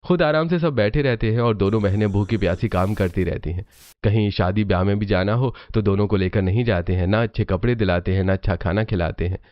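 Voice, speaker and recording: A man's voice: on the low side, quick pace, a little mellow. A man narrating a scripted cartoon story, on a muffled recording with music behind him.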